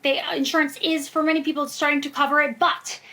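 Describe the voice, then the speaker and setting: Female medical expert for podcast interview: very high, forceful bright voice, emphatic and reassuring explainer. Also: little pitch movement, quick pace. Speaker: guest expert, preventive cardiologist. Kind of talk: conversational podcast interview answers.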